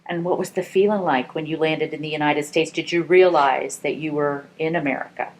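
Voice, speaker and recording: A woman conducting an oral history interview, asking gentle, encouraging questions. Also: deep voice, fairly even intonation, fairly quick.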